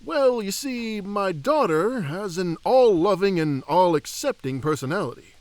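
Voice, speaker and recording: A humble, fatherly elf king, played by a man, speaks in a voice slightly on the high side for a man. He is reflective, candid and gently formal, in the manner of fantasy audio drama. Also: expressive, unhurried, no room sound.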